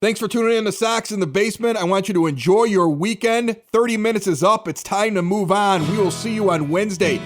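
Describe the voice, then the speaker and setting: A man's voice: sits high, a little fast, hardly any pauses, soft background music. A middle-aged American man hosting a sports podcast, giving opinionated, emphatic conversational commentary. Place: home basement studio.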